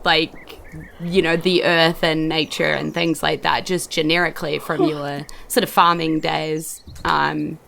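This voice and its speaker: A woman's voice: low voice, flat delivery, a little slow, creaky, a bit bright, slight background noise. A woman, narrating a tabletop game off the cuff as game master. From New Zealand.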